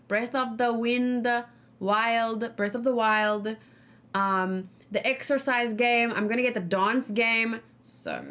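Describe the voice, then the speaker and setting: Female let's play host with a fairly high voice; informal, chatty, rambling delivery that thinks out loud. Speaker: gaming streamer and host.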